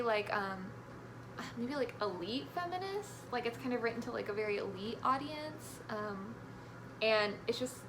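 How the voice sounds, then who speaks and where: A young woman chatting casually about books as a guest, giving her opinions a little tentatively. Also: mid-range voice. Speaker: guest, friend of the vlogger. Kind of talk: casual book chat. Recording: clearly noisy room recording.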